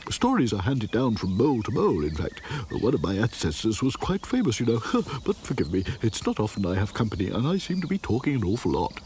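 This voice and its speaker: A man's voice: fairly low pitch, wildly swooping pitch, a little fast, hardly any pauses, a little mellow, a little hiss or hum. A man voicing a short-sighted, talkative old male mole who stammers and puffs. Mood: chatty. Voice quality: stammering, breathless and puffing.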